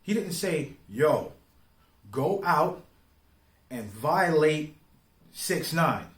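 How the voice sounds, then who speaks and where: Echoey, higher-voiced man giving street-slang commentary. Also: animated, fairly bright tone. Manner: street slang, emphatic, deliberate with rhetorical questions. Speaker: online commentator. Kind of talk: informal opinion commentary. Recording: roomy, echoing sound.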